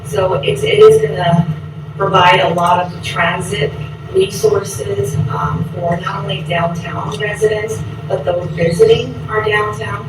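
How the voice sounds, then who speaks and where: A woman's voice: mid-range voice, steady tone, unhurried. A woman on a board commenting at a public meeting, proud and enthusiastic, with frequent fillers. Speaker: board member. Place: large echoey meeting room. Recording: echoey, distant, noisy meeting recording.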